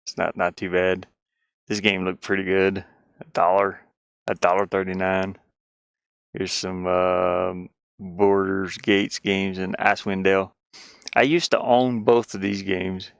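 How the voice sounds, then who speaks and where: A man chatting casually on a game livestream, rambling and thinking aloud in a halting way. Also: low-pitched, little pitch movement, gravelly, clear, resonant tone, no echo, clean studio sound. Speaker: game streamer and indie game developer.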